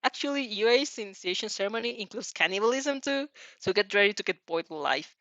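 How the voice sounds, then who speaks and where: A woman's voice: mid-range voice, lively intonation, quick pace, a bit bright, no room sound, highs cut off. A woman voicing a cocky, sarcastic teenage girl hero student in a story reading, cheeky in manner. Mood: cocky, taunting.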